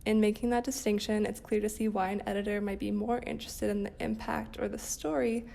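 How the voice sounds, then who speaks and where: Female PR account manager, scripted explainer. Heavy vocal fry, dark soft tone. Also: mid-range voice, level tone, a little fast. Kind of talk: scripted explanatory podcast answer.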